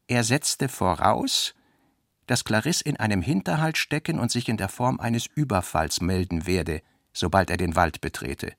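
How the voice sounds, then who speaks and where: A man reading literary narration for a radio play in a measured, carefully articulated way. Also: lowish voice, lively intonation, fairly bright tone, dry recording, clean studio sound.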